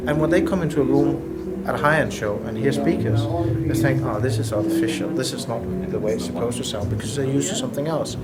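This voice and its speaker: A man in his forties with a Danish accent, a loudspeaker designer explaining his engineering in an earnest, slightly halting way in a showroom. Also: mid-range voice, heavy background noise. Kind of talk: technical interview answers. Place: indoor hi-fi showroom.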